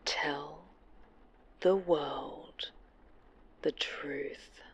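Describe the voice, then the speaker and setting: A woman voicing an obsessive, sinister superhero woman in an ASMR roleplay, sweetly menacing in a breathy whisper. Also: low voice, steady tone, pitched below the performer's own voice, exaggerated voice. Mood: cold commanding. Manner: slow, deliberate, menacing.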